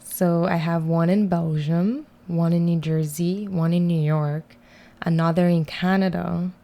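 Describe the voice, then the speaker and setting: A woman's voice: lowish voice, fairly even intonation, measured pace, mellow. A woman in her twenties from Guyana with a Guyanese accent, chatting easily as an interview guest and laughing often. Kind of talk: casual interview chat.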